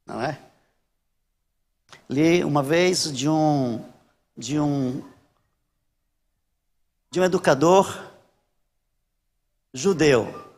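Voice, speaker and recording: A Brazilian man delivers a church sermon in a high voice. He speaks emphatically, leaning on repetition and deliberate pauses. Long hall reverb trails his words. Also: darkish tone. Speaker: Baptist pastor preaching. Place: large church hall during a service.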